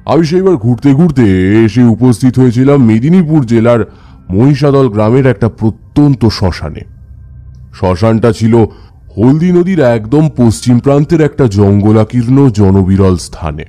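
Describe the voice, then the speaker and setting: A man playing a seasoned tantric who tells his tales in a measured, reverent manner for an audio horror story. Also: mid-range voice, lively intonation, mellow, faint music behind, lightly acted. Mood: reflective, eerie. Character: Taranath, seasoned male tantric recounting his past.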